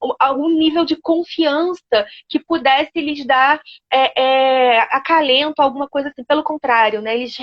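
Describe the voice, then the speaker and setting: A woman interviewing, speaking reflectively and hesitantly with many fillers. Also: high-pitched. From Brazil. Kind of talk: conversational interview. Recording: dull lo-fi sound.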